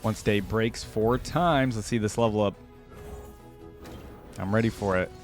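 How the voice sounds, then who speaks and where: A man's voice: fairly low pitch, a little slow, soft background music. A man hosting a casual reaction video, informal and thinking aloud as he comments.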